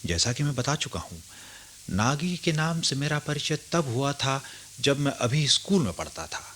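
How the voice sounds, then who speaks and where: A man acting a respectful, curious grandson in a Hindi radio drama, speaking and narrating in an earnest, deliberate way. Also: medium pitch, big pitch swings, fairly bright tone, slight background noise. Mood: reflective, narrating. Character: respectful, curious grown grandson who narrates his memories.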